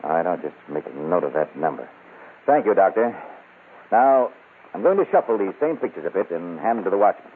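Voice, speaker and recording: Brisk police captain firing questions, low voice, tinny crackly old radio. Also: male voice, sing-song. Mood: businesslike. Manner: businesslike, questioning, authoritative. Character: brisk, methodical police captain working a case. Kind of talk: 1940s radio crime drama acting. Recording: scratchy, tinny old-time radio recording.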